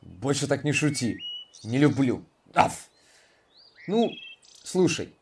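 A man's voice: mid-range voice, sing-song, slow pace, slight background noise. Man as a blunt, easily offended guard dog for a kids' audio story, barks mid-line, mic a little distant. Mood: huffy. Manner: gruff, blunt, barking between phrases. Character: touchy, proud male watchdog. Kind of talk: children's audio drama.

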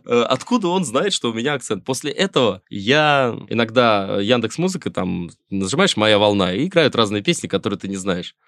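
A middle-aged man with a Russian accent, chatting as a musician guest in a relaxed interview. His voice sits low, and he rambles wryly, pausing on fillers and restarting his sentences. The sound is close and dry, with no room around it. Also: no room sound. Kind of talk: casual interview chat.

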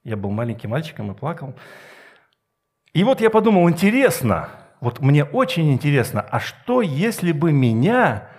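A middle-aged man giving a Sunday sermon with personal anecdotes, speaking emphatically with deliberate pauses and rhetorical questions. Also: fairly high pitch, sing-song, a little mellow, slightly rough. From Soviet Union. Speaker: preacher.

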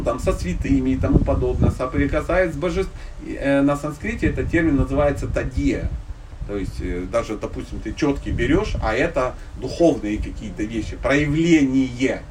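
A man's voice: mid-range voice, a little mellow. A man from Ukraine giving an informal religious lecture to a group, conversational and blunt, with slangy wording and humorous illustrations. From Dnipropetrovsk, Ukraine. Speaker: spiritual teacher giving a lecture. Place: live talk to a listening group. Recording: distant microphone, faint background noise.

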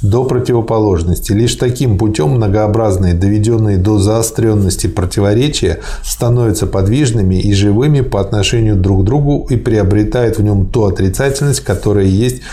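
Man, low mellow voice, measured reading, academic discussion. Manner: measured, reads aloud steadily. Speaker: co-host reading and discussing texts. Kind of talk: reading quotations aloud in a discussion.

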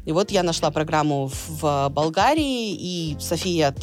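A woman talking as an interview guest, explaining patiently and telling lively stories with acted-out dialogue. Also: deep voice, moderate pace, faint music behind. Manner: lively storyteller, acts out quoted dialogue; explains patiently with many small restarts. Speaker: guest, medical interpreter and former English teacher. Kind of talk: casual interview chat.

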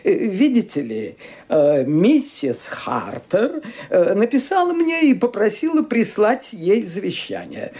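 Husky, high-voiced, fussy lawyer. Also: male voice, big pitch swings, mellow, exaggerated voice. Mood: hesitant, courteous. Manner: halting, hemming, formal. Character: fussy, hesitant, polite male family lawyer. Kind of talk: radio play acting.

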